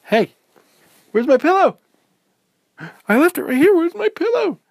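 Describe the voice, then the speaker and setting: A man voicing an excitable, anxious little girl in a bedtime story, squealing when excited. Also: fairly high pitch, measured pace, creaky, dry recording, pitched above the performer's own voice, heavily acted.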